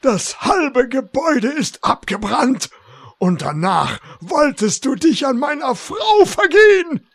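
An actor playing an outraged, pompous dark horseman villain with declamatory delivery in a comedy audio play. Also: extremely high pitch, sing-song, gravelly, breathy edge, heavily acted. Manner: declamatory, slow.

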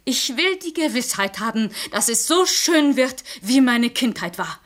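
An actress playing a defiant young princess in a classical radio drama, declaiming with intense contempt. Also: female voice, a little high, animated, measured pace, a bit bright. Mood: uncompromising. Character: defiant, scornful young princess who demands everything or death.

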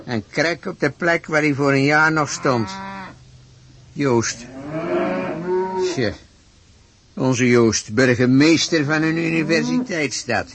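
A man's voice: a little high, slow pace, pauses often, background noise. An actor playing a stubborn, gullible rich old farmer in a radio play, blustering and stammering as he repeats himself. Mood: brooding.

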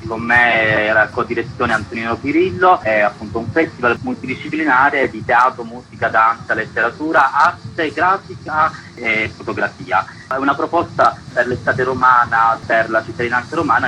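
Male arts-festival director as interview guest: bright, articulate, explanatory, faint noise behind him. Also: mid-range voice. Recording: clearly noisy background.

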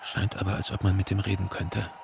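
An actor playing a wary, brooding office worker, muttering clipped inner thoughts in a radio drama. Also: male voice, deep voice, little pitch movement, a little fast, creaky, background noise. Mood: watchful, secretive. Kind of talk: radio drama interior monologue. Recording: music behind the voice.

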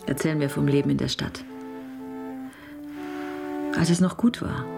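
An actress playing a plain-spoken, caring woman restorer in a radio drama, brisk, matter-of-fact and full of questions. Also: sits low, creaky, music in the background, heavily acted. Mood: soothing.

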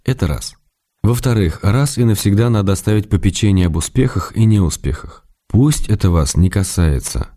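A tender, teasing letter-writer. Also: male voice, on the low side, animated. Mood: consoling. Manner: tender, gently ironic, unhurried. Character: affectionate, teasing male writer writing letters. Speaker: reader of letters in a radio play. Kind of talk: radio drama letter reading.

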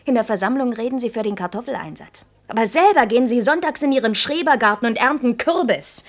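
Defiant, sensitive young woman. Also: a little high. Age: young adult. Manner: earnest, reproachful, shifting between insistent outbursts and hushed confiding. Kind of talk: German radio drama acting, intimate dialogue.

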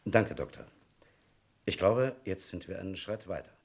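An actor playing an authoritative, probing police captain in a vintage crime radio play, questioning in a clipped, insistent way. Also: male voice, low voice, expressive, normal speed, gravelly, a little mellow, husky, no room sound, exaggerated voice. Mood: businesslike.

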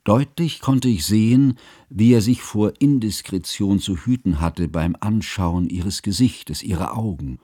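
A man acting as an educated, observant narrator in a radio play, speaking in formal, ornate and deliberate phrases. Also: fairly low pitch, dark tone, breathy edge, dry recording, studio quality. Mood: measured, observant. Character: educated male first-person narrator, measured, observant friend.

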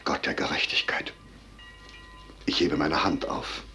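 An actor playing a grave, noble elder general with solemn, weighty declamation in a 1950s German radio drama. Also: male voice, extremely low pitch, a little slow, pushed and bright, soft background music, heavily acted. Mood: solemn horror.

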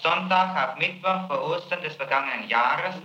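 A man's voice: fairly high pitch, moderate pace, sharp tone, roomy sound, muffled recording, slight background noise. A man working as a prosecutor, questioning a witness with probing, insistent questions on an archival tape. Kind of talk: archival interrogation recording.

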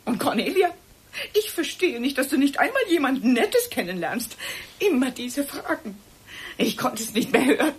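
An actress playing a cornered, guilt-ridden woman in a radio play, halting and pleading as she defends herself. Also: high voice, very animated, slight background noise. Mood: agitated, confessing. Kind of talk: German radio play acting.

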